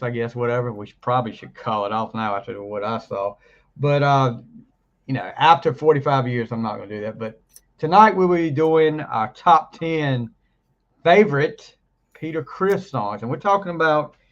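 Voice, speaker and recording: Brief: male podcast host getting over the flu, rambly, mid pitch, lo-fi sound. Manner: rambling, laughs often, trails off mid-sentence. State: recovering from flu, voice deeper than usual. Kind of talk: casual chat.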